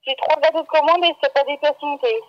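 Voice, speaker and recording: A woman's voice: sits high, fast. A woman working as a shop employee, answering a customer phone call in a blunt, flustered, repetitive way. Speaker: bakery employee. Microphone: telephone. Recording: dull, band-limited phone line.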